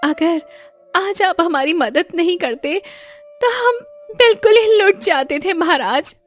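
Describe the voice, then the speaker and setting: Woman voicing very high, pleading village woman. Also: animated, faint music behind, heavily acted. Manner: imploring, drawn-out, deferential. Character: pleading, distressed village woman in a moral-story cartoon.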